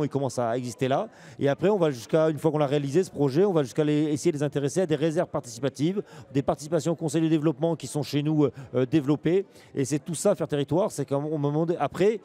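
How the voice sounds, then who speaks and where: A man's voice: fairly high pitch, mellow. A man speaking as a local elected official in a panel discussion, emphatic, often rephrasing himself mid-sentence. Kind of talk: panel discussion, unscripted argument.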